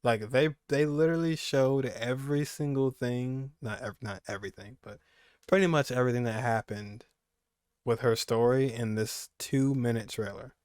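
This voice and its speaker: A man's voice: mid-range voice, normal speed, a little mellow, clean tone, dry recording, clean studio sound. A young man giving casual, rambling reaction commentary as a gaming streamer, repeating phrases for emphasis.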